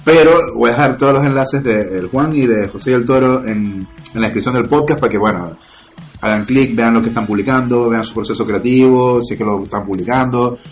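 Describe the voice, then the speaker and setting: Podcast host, man, 30s; quick, casual, slangy delivery. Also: mid-range voice, soft background music. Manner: fast, loose slangy talk.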